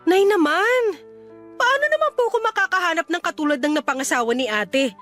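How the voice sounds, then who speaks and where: A high-pitched, frustrated grown daughter in a radio drama, pleading politely and trying to reason. Faint music plays behind her. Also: lively intonation, a little fast, fairly bright tone. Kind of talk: Filipino radio drama acting.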